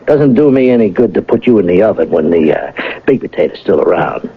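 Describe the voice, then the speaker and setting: An actor playing a shrewd, sardonic police detective sergeant, needling with mock friendliness and deliberate pauses in an old-time radio drama. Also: male voice, fairly low pitch, lively intonation, breathy edge, heavily acted. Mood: shrewd, pressing. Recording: tinny, narrow vintage radio broadcast.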